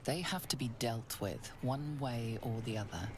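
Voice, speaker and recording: An actress playing an unseen video game narrator, grave and measured, in dramatic scripted narration. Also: female voice, very deep voice, background noise. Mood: solemn. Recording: roomy echo, music behind.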